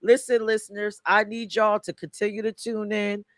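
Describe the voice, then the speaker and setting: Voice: medium pitch, level tone, normal speed, no room sound. An informal radio host talks to the audience in loose, slangy phrasing and keeps nudging listeners to stay tuned. The voice sits in a middle register. The sound is close and dry, with no room around it. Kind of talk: casual radio chat.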